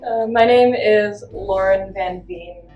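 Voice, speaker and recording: A woman's voice: medium pitch, takes it slowly, a little mellow, soft background music. A young woman, a college sophomore, giving a brief, slightly hesitant introduction. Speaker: student interviewee. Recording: roomy sound, distant from mic.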